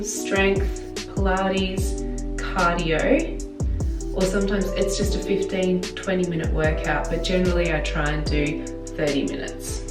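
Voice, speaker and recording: Croaky-voiced woman, soft and reflective. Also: on the low side. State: slightly croaky from illness. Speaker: lifestyle vlogger. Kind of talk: casual voice-over narration. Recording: voice-over with loud background music.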